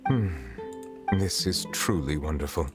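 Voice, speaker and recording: A composed chief justice from a video game. His voice is extremely deep, and he speaks formally and deliberately, grave and courteous, over clearly heard music. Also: animated, exaggerated voice. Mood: grave, measured.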